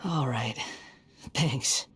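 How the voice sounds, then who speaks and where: A man playing a brooding, soft-spoken young wanderer in a video game, subdued and reserved. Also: medium pitch, drawn-out words. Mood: guilty, subdued.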